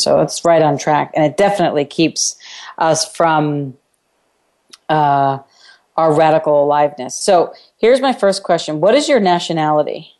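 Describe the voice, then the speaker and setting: Low-pitched woman hosting a call-in show. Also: a little mellow. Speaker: radio call-in show host and facilitator. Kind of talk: live coaching conversation with a caller.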